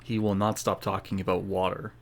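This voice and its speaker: Very low-pitched female gamer chatting. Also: level tone, a little fast, crowd noise. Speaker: gaming streamer. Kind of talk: casual playthrough commentary.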